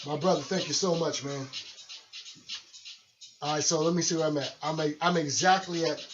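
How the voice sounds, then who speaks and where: A man's voice: fairly high pitch, normal speed, over music. A man hosting and DJing a radio show, talking in a chatty, friendly, loose way. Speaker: radio host and DJ. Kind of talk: casual radio show talk. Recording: dull lo-fi recording.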